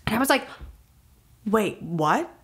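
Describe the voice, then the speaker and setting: A woman's voice: mid-range voice, lively intonation, slow pace, a bit bright. A young adult woman co-hosting a podcast, chatting and telling stories in a blunt, playful way with plenty of swearing. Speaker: podcast co-host. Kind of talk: casual chat, storytelling.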